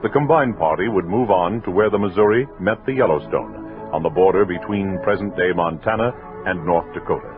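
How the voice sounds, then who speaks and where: An American man narrating in a formal, measured mid-century radio style, as the programme's announcer. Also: low voice. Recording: muffled old radio recording. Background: music.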